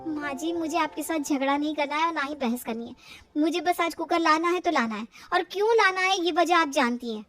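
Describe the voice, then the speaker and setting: A very high, bright young daughter-in-law voiced by a woman storyteller. She speaks politely yet holds her ground firmly, in storybook style over music. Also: brisk. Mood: firm, fed up. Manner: polite, firm, explanatory.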